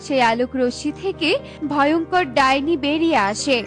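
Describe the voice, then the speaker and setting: A woman's voice: high voice, normal speed. Woman narrating a cartoon story. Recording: with light background music.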